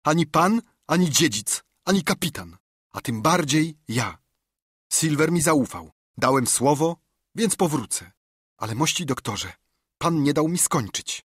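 A man's voice: fairly high pitch, animated, a little slow, breathy edge, no room sound, studio quality, voice pushed up. A man voicing a frightened but honourable teenage boy who pleads and holds firm, in an audiobook. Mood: pleading. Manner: pleading, stubborn. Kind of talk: audiobook character reading.

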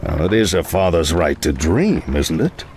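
An actor playing a seasoned knight commander and warm mentor, hearty, courteous and avuncular, in a fantasy game. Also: male voice, sits low, very animated, moderate pace, background noise. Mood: genial. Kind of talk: fantasy game dialogue acting.

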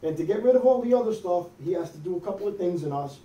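A man's voice: high-pitched, animated, fast talker, warm tone. A middle-aged male pastor preaching a sermon in a conversational style, often checking in with "okay?" and "amen?". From United States. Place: small church hall with wood-paneled wall. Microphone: far camera, no visible mic. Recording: echoey room from far off.

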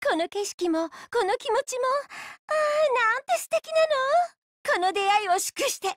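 Very high, dreamy anime bird girl. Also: sing-song, normal speed, dry recording. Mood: enraptured. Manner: elegant, theatrical, rapturous, feminine phrasing. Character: graceful, dreamy bird-of-paradise girl who loves dancing. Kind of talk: Japanese anime mobile game character lines.

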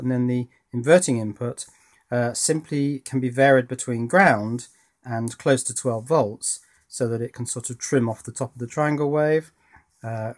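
Man explaining at a workbench. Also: mid-range voice. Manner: thinking aloud. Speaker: electronics hobbyist and presenter. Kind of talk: informal hands-on explanation. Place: indoor workbench.

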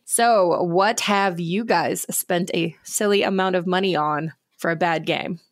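A woman's voice: on the low side, a little slow, no room sound. A woman hosting a casual gaming podcast, who throws in dry quips between questions. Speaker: podcast host. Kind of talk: casual chat.

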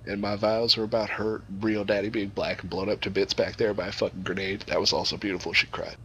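A man's voice: fairly low pitch, little pitch movement, quick pace, bright, forceful tone, dull audio, slight background noise. A man improvising a crude comic story in a rambling, profane, theatrical way.

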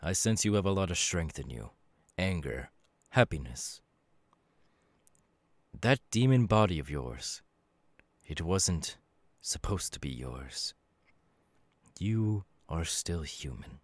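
A man voicing a calm, knowing demon warrior with quiet menace, in a measured, halting, dramatic delivery for an ASMR audio roleplay. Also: sits low, no room sound, exaggerated voice. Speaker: voice actor.

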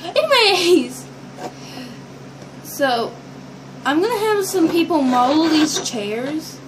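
High-pitched young teenage girl vlogging. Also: lively intonation, slow. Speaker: vlogger showing toy builds. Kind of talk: casual rambling vlog chat to camera. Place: small bedroom, indoors. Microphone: built-in device mic at arm's length. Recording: noisy amateur webcam audio.

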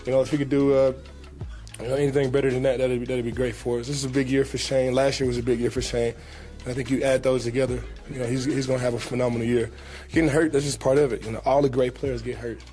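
A young man, a pro football player, answering reporters at a press conference in a relaxed, casual way, with many fillers and repeated words. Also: medium pitch, fast talker, faint music behind. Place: team press room, indoors. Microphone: table mic in front.

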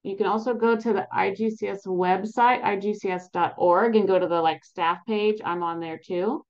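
A woman in her thirties talks through a laptop mic on a video call, and the audio sounds a little dull. She is upbeat and encouraging, with plenty of "um"s and restarts, at a mid pitch. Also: fairly even intonation, normal speed. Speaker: advocacy program staff presenting a webinar. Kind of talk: casual webinar presentation. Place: home room behind a virtual background. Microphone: laptop webcam mic on a video call.

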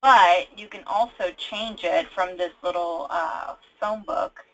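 A woman on a conference call casually explaining a software problem as a trainee, informal and a little hesitant. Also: lowish voice, takes it slowly, dull audio. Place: conference call with screen share.

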